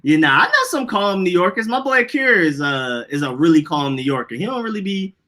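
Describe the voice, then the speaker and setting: A man's voice: high-pitched, expressive, a little fast, pushed and bright. A young man with an Atlanta accent, co-hosting a podcast and telling loose, slangy stories in casual chat. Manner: loose, rambling storytelling with slang. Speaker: podcast co-host.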